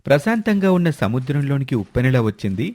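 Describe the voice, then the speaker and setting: A man's voice: mid-range voice, very animated, fast, darkish tone. A man reading scripted narration for a moral family story.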